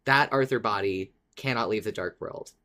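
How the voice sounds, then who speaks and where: A woman co-hosting a podcast, chatting casually about a favorite show in an informal, excitable, exaggerating way. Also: rumbling low, moderate pace. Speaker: podcast co-host. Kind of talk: casual fan discussion podcast.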